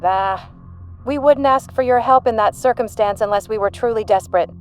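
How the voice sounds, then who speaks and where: A woman's voice: fairly high pitch, moderate pace, soft background music, natural voice. A woman playing a shrewd, unflappable human intelligence general in an audio drama, composed, dry and authoritative. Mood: cool, assured.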